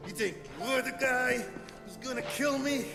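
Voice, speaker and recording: Taunting gunman, gravelly and high; action scene, music. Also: measured pace, in a large hall, heavily acted. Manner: shouting, taunting. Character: cocky male gangster, taunting. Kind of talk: video game cutscene acting. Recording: game cutscene with gunfire and music.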